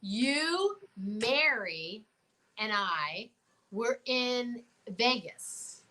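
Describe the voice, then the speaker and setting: A middle-aged American woman co-hosting a talk show in casual, expressive chat full of exclamations. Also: fairly high pitch, drawn-out words, low-quality recording.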